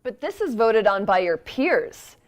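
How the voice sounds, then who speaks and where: A woman's voice: medium pitch, big pitch swings, a little slow, highs cut off. A woman co-hosting a virtual awards show, chiming in with friendly questions. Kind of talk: casual interview questions.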